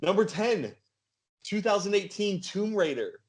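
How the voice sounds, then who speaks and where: A man's voice: sits high, very animated, a little slow. Man hosting a casual quiz. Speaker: podcast game host.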